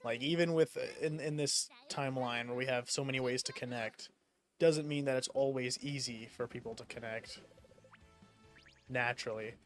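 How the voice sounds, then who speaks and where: A man's voice: medium pitch, fairly even intonation, moderate pace, soft background music. A young man from the United States chatting casually while gaming, rambling with lots of fillers and casual swearing. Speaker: streamer.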